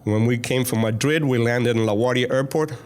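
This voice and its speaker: A man's voice: mid-range voice, fairly quick. A man in his sixties, from Cuba with Spanish as his first language, plainly and earnestly recounting his life story as a school board president in an interview.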